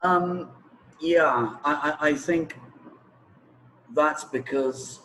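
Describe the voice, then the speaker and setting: A man of sixty, a native English speaker, explaining his views in an interview in a halting, deliberate way, as if thinking aloud. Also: fairly high pitch, pushed and bright, poor audio. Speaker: teacher trainer in reading, interview guest. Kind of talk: interview talk, explaining opinions.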